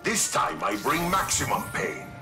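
An actor voicing a hulking, menacing, arrogant masked villain in a video game, making grandiose threats. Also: male voice, on the low side, wildly swooping pitch. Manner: slow, menacing, grandiose declarations. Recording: loud music behind, echoing sound.

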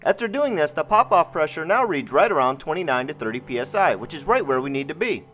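Male DIY narrator, high voice with lively pitch swings, scripted tutorial delivery. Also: fast talker. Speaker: DIY host. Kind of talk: scripted how-to voice-over narration. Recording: muffled, band-limited voice-over with music. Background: music.

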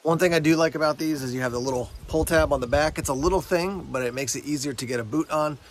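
A man's voice: a little high, quick pace. An American man in his forties giving a casual, unscripted product review to camera outdoors, conversational and a little hesitant. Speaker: gear review vlogger. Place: outdoors, woods, yard and parking lot. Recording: outdoor recording with clear background noise.

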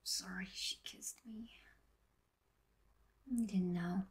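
Woman voicing a breathy, teasing teenage boy. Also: medium pitch, fairly even intonation, takes it slowly, full character voice. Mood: sheepish. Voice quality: breathy, often whispering. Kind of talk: amateur fan audio drama.

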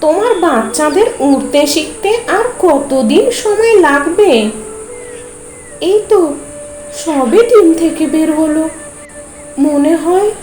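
A woman voicing a helpful male crow neighbour in a cartoon, calm and reassuring. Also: sits high, reverberant, rough recording.

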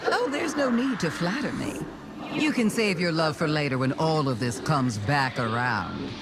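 A woman's voice: low voice, expressive, normal speed, noisy background. A woman playing a scheming, mocking alien witch queen in a game, with a sly, theatrical, taunting delivery. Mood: smug, mocking. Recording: loud music and effects behind.

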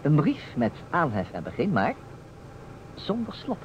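A man's voice: a little high, wildly swooping pitch, measured pace. An actor playing a playful, insistent man who stages a detective puzzle in a radio play, with a teasing, emphatic manner. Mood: theatrical. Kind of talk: Dutch radio play acting. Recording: dull, noisy old radio recording.

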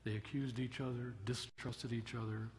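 An older man giving a sermon from a church pulpit, telling a story in a measured way with emphatic rises. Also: mid-range voice, level tone, a little mellow. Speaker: pastor. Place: church sanctuary at a wooden pulpit. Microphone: pulpit microphone. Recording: long church reverb, faint room noise.

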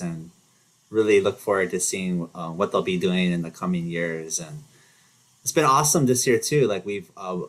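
A middle-aged man hosting an online event over a video call, relaxed and full of fillers and restarts. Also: sits low, poor audio. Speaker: event host. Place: home, virtual background.

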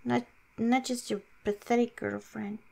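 A woman voicing a cute, insecure young werewolf girlfriend in an improvised ASMR roleplay, speaking to the listener in a halting, pouty, self-deprecating way. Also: on the high side, level tone, gravelly, warm tone, heavily acted. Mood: embarrassed, insistent.

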